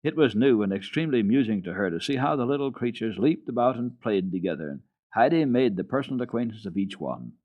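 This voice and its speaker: A man's voice: medium pitch, lively intonation, fast talker, narrow, tinny audio. A man giving a dramatic storybook reading of a children's classic as a radio storyteller.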